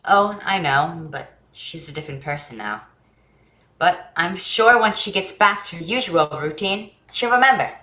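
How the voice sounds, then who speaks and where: A woman's voice: fairly low pitch, animated, normal speed, sharp tone, echoey room. A woman acting a naive, chatty young boy in a staged play reading, earnest and full of questions. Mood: hopeful. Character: naive, eager, chatty young boy who loves jokes.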